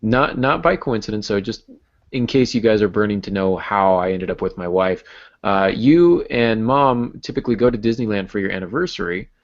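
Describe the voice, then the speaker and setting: A man with a fairly low voice co-hosts a podcast. He chats casually and tells things in a personal, conversational way. The recording is a little dull and lo-fi. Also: expressive, normal speed. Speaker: podcast co-host. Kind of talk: casual chat.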